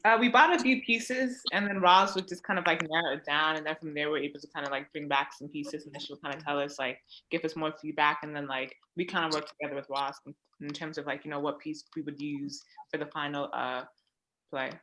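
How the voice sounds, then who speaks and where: A woman's voice: deep voice, fairly even intonation, fairly quick, fairly bright tone, a little hiss or hum, low-quality recording. A woman acting student explaining something casually, with lots of filler words.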